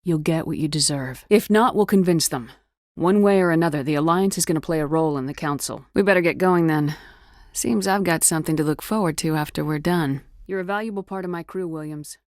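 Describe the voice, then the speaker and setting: A tough female military commander from a video game, acted by a woman. Her voice sits on the low side and is recorded close and dry. She speaks with firm authority and a guarded, wry edge. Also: fairly quick, a little mellow, dry recording, lightly acted. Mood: measured approval. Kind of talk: video game dialogue acting.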